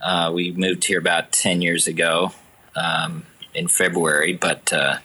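Deep, bright-toned male guest, hesitant and halting. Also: flat delivery, breathy edge, rough recording. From New Hampshire. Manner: hesitant, many fillers. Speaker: podcast guest. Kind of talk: casual interview chat.

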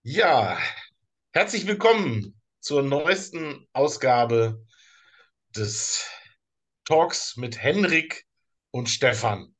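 German male podcast co-host, easygoing and halting, mid-pitched voice. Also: animated, fairly bright tone. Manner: hesitant, many fillers and restarts, slow in places. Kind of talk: casual podcast chat.

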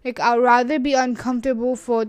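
A young woman with an Urdu accent talks on her own podcast in a loose, rambling way, leaning on "like" and restarting her sentences. Her voice sits a little high and sounds smooth and clear. The recording is close and dry, with no room sound. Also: little pitch movement, a little fast, dry recording. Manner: rambling, frequent "like" fillers and restarts. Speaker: podcast host. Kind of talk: casual solo podcast monologue.

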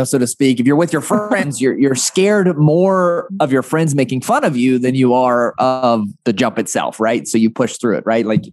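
A man's voice: medium pitch, darkish tone, dry recording. Man with frequent "right?" tags, dry sound. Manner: frequent "right?" tag questions. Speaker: interview guest, agency founder. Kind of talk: conversational interview, anecdotes and advice.

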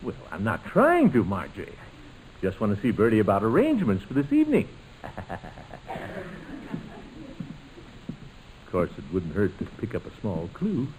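An actor playing a hearty, pompous middle-aged uncle in 1940s radio comedy, blustery and coaxing. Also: male voice, on the low side, huge pitch swings, brisk. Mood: sly. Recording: noisy old-time radio recording.

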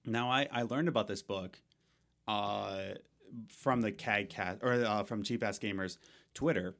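Young male podcast co-host, slightly low voice, loose rambling delivery with fillers. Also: measured pace, vocal fry, a bit bright. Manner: rambling, many fillers and restarts. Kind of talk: casual gaming podcast chat.

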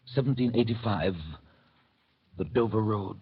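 An actor playing a composed, grave banker, narrating with measured authority in old radio drama style. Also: male voice, lowish voice, breathy edge. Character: English banker recounting history, composed and grave. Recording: dull vintage radio recording, music behind.

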